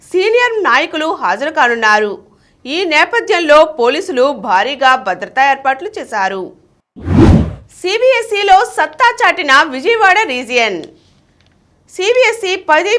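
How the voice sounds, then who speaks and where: A woman news reader. She delivers scripted bulletins formally, with very animated intonation that rises and falls through each sentence. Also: sits high.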